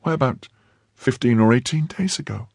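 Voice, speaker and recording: A man's voice: mid-range voice, normal speed, creaky, darkish tone, no echo, studio quality, voice pushed up. A man voicing a frightened young French maid in a storybook, emotional and anxiously pleading. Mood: agitated, fearful.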